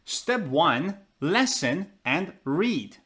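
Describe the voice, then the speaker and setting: A man's voice: a little high, very animated, very slow, a bit bright. A man teaching an English pronunciation lesson, asking questions and answering them himself.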